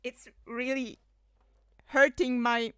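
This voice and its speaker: A woman's voice: high-pitched, level tone, takes it slowly, fairly bright tone, clear, resonant tone, dry recording. A woman from Poland, rambling and laughing through casual livestream commentary, full of fillers. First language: Polish. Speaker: streamer.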